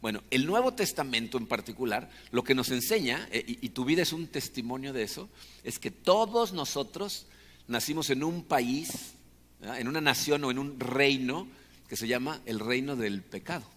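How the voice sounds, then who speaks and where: A man's voice: mid-range voice, animated, moderate pace, a bit bright. A man with a Mexican accent preaching a conversational, explanatory sermon, often checking for agreement with short tag questions. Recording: long hall reverb.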